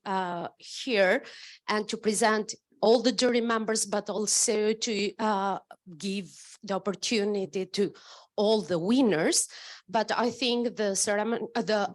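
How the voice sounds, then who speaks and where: A woman moderating an awards ceremony, announcing formally with many pauses and fillers. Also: mid-range voice. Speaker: event moderator.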